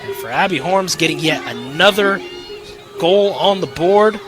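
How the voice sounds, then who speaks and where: A man's voice: high voice, animated, measured pace, over music. A young man calling play-by-play sports commentary as an announcer. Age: young adult.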